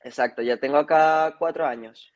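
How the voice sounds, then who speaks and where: A young man with a fairly high voice and a Venezuelan accent touched by a Chilean lilt. He talks casually as an interview guest, easygoing and thoughtful, over a video call. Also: moderate pace, highs cut off. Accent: Venezuelan, slightly Chilean lilt. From Caracas, Venezuela. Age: 20s. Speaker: interview guest, singer-songwriter. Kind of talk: casual chat. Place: indoor room on a video call.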